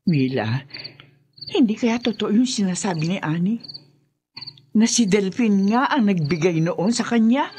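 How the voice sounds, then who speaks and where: A woman's voice: mid-range voice, animated, a little slow, long pauses, close to an everyday voice. An actress voicing an anxious older grandmother in a radio horror drama. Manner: urgent, fretful. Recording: radio drama with cricket noise.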